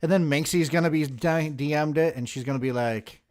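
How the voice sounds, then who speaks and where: A man's voice: on the high side, brisk, darkish tone. A man in his forties reacting to a video with casual, profane, mocking commentary and joking asides. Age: 40s. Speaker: video host reacting to footage.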